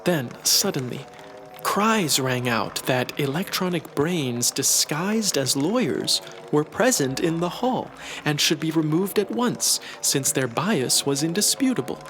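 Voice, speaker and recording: A man reading a satirical literary story as a narrator, in a measured, expressive storytelling manner. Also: on the high side. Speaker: audiobook narrator. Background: music.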